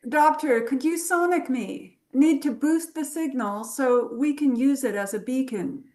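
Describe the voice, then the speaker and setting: A woman playing a flirtatious, knowing adventurer professor in a script table read, with a teasing, unhurried delivery. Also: sits high, a little mellow. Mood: businesslike.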